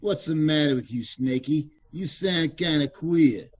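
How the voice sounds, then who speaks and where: A man playing a surly, curt gangster with a husky voice in an old-time radio mystery. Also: on the high side. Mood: sly, suspicious. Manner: curt, menacing, unhurried. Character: surly, slangy male gangster.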